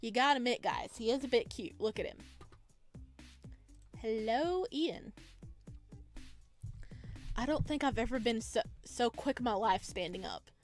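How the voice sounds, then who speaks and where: Chatty girl gamer reading a visual novel out loud, kinda high voice, some music in the back. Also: lively intonation, unhurried, long pauses. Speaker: gaming video host. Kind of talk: playthrough commentary and reading visual novel text aloud. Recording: music behind the voice. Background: background music.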